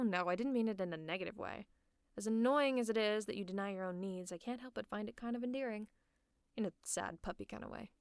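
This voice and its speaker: A woman performing an audio roleplay, talking softly and teasingly to the listener as if to a friend. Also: mid-range voice, no echo, studio quality. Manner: soft, caring, teasing, often near a whisper. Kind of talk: ASMR roleplay, speaking to the listener.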